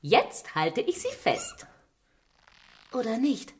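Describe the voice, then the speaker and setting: An actress playing a detective's affectionate, easily shaken wife in a radio crime drama. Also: female voice, a little high, huge pitch swings, slow pace, heavily acted. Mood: uneasy, puzzled.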